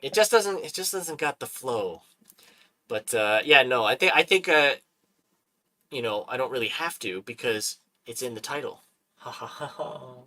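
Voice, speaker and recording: A man hosting a casual talk stream, animated and quick to laugh, often repeating his words. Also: mid-range voice. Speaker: host. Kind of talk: casual podcast chat.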